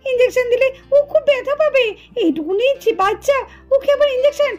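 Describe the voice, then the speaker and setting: A woman's voice: very high-pitched, lively intonation, a little fast, a little mellow. A woman voicing an anxious, tearful young mother doll in a melodramatic puppet cartoon, wailing and pleading repetitively. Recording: music behind the voice.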